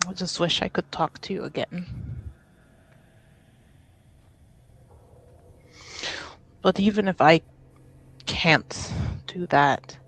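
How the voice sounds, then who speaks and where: A woman playing a grieving grown daughter in a tabletop game, speaking with tender, halting pleading. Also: on the low side. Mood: resolute, wistful. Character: grieving grown daughter at a dying parent's bedside. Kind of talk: tabletop roleplay acting.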